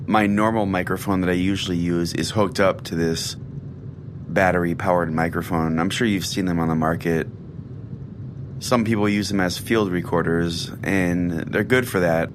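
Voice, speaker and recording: A man's voice: sits low, background noise. A man playing a self-important, sardonic conspiracy-preaching pastor who rambles chattily as host of an audio-drama show. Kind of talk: scripted audio-drama monologue as a talk show.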